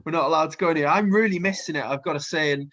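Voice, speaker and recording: A man's voice: a little high, very fast, fairly bright tone, dull audio. A man with a Welsh accent and a slight cold, chatting casually and rambling with plenty of fillers as a festival booker being interviewed. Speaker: festival co-founder and music booker.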